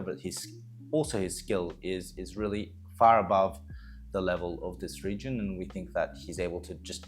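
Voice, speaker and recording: Low-voiced man, music behind. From Australia. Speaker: esports coach. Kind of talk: prepared team announcement to fans.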